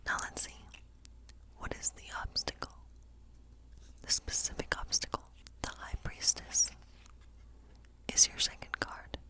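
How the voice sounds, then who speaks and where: A woman whispering a tarot reading in an ASMR fantasy role play, gentle and unhurried, explaining in short, breathy phrases. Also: low-quality recording.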